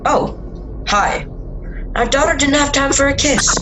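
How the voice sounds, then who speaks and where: A woman's voice: sits high, a little slow, background noise. A woman playing an easygoing, teasing suburban husband and father, with a casual, folksy manner, in an amateur radio drama. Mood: affectionate.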